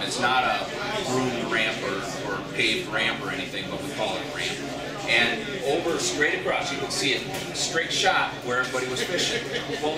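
A middle-aged man, a fishing guide, giving a folksy storytelling seminar talk to an audience in a large indoor shop. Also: medium pitch. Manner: storytelling, folksy, repeats words. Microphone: far camera, no mic. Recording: echoey room from far off, loud crowd.

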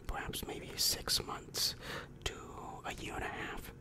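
Whispering young man, ASMR roleplay. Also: a little slow. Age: young adult. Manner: soft, hesitant, reassuring, repeats phrases. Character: helpful, polite male demon guidance counselor in hell. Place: small dim room indoors. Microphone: large microphone right in front of the mouth.

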